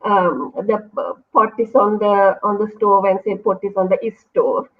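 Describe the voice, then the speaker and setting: A woman's voice: mid-range voice, measured pace. Sri lankan lady academic talking it through over a tinny video call, careful and thoughtful. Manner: thoughtful, explanatory, self-correcting. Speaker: university English lecturer, guest. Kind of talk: academic discussion in an online interview. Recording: phone-like online call audio.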